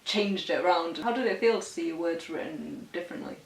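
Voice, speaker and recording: A woman's voice: lowish voice, a little fast. A woman chatting casually as an interviewer, asking questions in an informal way. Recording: echoey room.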